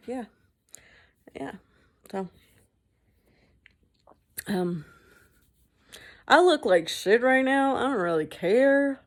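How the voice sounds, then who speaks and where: A woman vlogging at home in a casual, rambling chat, swearing freely and mocking herself. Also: medium pitch. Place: indoors at home.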